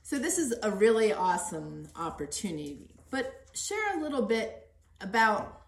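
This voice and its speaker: A woman hosting an informal podcast interview, gently prompting and encouraging. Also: mid-range voice, big pitch swings, normal speed. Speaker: podcast host. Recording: roomy echo.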